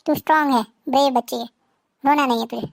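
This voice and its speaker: A woman's voice: high-pitched, fairly even intonation, fast, no room sound, studio quality. A woman playing a dying mother, soothing. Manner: soothing, reassuring, brave. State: weak, ill. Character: dying young mother comforting her daughter. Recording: faint music behind.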